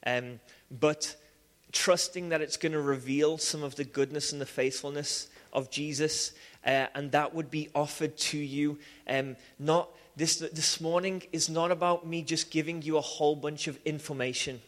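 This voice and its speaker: A man with a Liverpool accent preaches to a church congregation, his speech animated and full of restarts and fillers. His voice sits a little high for a man. Long reverb from the hall trails behind every phrase. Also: moderate pace, few pauses. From England. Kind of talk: sermon with personal testimony.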